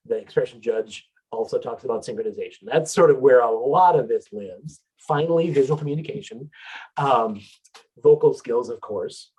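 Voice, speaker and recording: A man with a husky voice gives a relaxed, explanatory talk on singing technique. He speaks in a middle pitch and fills pauses with small hesitations. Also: poor audio. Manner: explanatory, relaxed with filler words. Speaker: vocal coach presenter.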